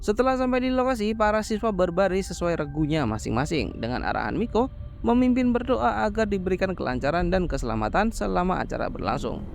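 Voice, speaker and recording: A man's voice: high voice, sing-song, fairly quick, creaky, slight background noise. A man narrating a horror film plot as a scripted story recap, explaining as he goes in informal wording.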